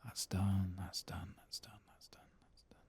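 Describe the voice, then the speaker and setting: A man giving soft-spoken, sometimes whispered ASMR gameplay commentary, murmuring and musing as if to himself. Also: low-pitched, level tone, a little slow, slightly rough. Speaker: game streamer.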